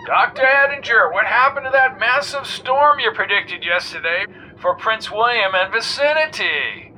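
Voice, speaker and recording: A man voicing an excitable male radio talk show host with a loud, high, bouncy delivery. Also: moderate pace, slight background noise, heavily acted. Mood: bubbly.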